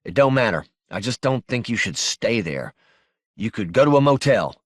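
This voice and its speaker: A man's voice: mid-range voice, very animated, normal speed, breathy edge, dry recording, clean studio sound. A man voicing a stubborn, laconic working-class fugitive in an audiobook, terse and guarded.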